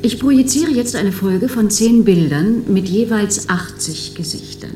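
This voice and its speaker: An actress playing a dutiful, cool clinic test examiner in a German radio play. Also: female voice, mid-range voice, a little slow, mellow, a little hiss or hum, natural voice. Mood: businesslike. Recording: roomy, echoing studio sound.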